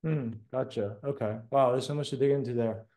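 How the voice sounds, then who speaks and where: A man who moved to the States from Taiwan as a child, hosting an interview in a relaxed, casual way with many fillers. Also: mid-range voice, brisk. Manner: casual, friendly, lots of fillers like "um" and "like". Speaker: host.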